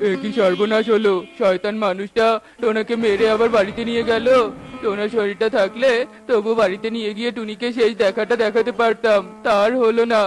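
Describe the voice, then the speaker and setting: Performer doing a sober, loud cartoon parrot friend. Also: mid-range voice, little pitch movement, a little fast, close to an everyday voice. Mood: alarmed. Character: concerned male parrot friend, sober and consoling. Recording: loud music behind the voice.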